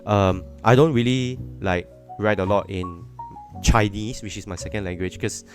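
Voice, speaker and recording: A man's voice: lowish voice, steady tone, normal speed, faint music behind. A Singaporean man with a Singaporean English accent, chatting casually as a podcast guest, rambling and hedging with "you know". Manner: rambling, repeats words, hedges with "you know".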